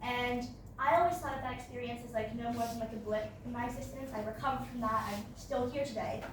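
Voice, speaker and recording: A woman's voice: on the high side, fairly even intonation, fast talker, distant mic, background noise. Brazilian teen girl presenting in echoey room. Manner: casual, many fillers and "like". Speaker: student presenter. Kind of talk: class presentation.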